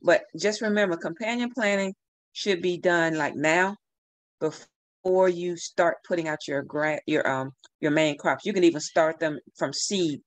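A middle-aged woman giving relaxed, repetitive gardening advice over a video call from a room at home. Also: on the low side, measured pace, dry recording. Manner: relaxed, advising, repeats herself. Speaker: gardening speaker. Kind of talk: casual online talk. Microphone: video call webcam.

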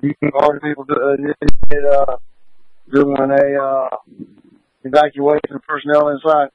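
A man gives polite, halting orders as a fire incident commander over two-way radio. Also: mid-range voice, completely flat delivery, a little hiss or hum. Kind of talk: radio traffic at a fire scene. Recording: phone-like narrow-band radio transmission.

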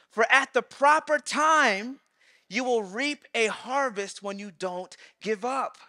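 A man in his thirties preaching a sermon on a large church stage, animated, acting out quoted voices and swinging between loud and soft. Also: extremely high pitch, no room sound, studio quality. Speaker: pastor.

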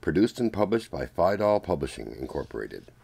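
A man reading a children's story aloud in a deliberate, expressive storytelling manner. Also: deep voice, gravelly, slightly rough. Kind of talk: read-aloud storybook narration.

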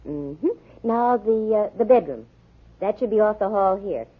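A wry American female private eye gives brisk orders in 1940s radio style. Her voice has a slightly rough edge, and the old recording is thin and muffled. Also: medium pitch, expressive. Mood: businesslike, improvising. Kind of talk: 1940s radio drama acting.

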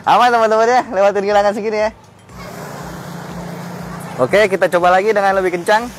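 A man's voice: sits high, steady tone, fairly quick, noisy background. A young man presenting an on-location vehicle test on a flooded street, chatty and full of fillers. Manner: chatty, keeps addressing viewers as friends, many fillers. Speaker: automotive news presenter. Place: outdoors on a flooded residential street.

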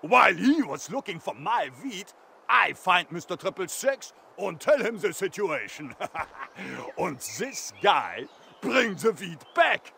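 Game lumberjack: blustering coward, sharp high voice, wildly animated pitch. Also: male voice, vocal fry, slight background noise, heavily acted. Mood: boastful, amused. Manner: halting, panicky, excitable. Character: blustering, frightened lumberjack weed dealer. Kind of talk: video game character acting.

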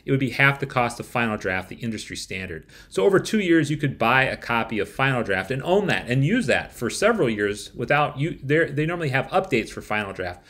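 A man's voice: medium pitch, a bit bright. A man in his forties presenting a scripted review to camera, with wry rhetorical asides. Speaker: software reviewer. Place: small room at home, indoors.

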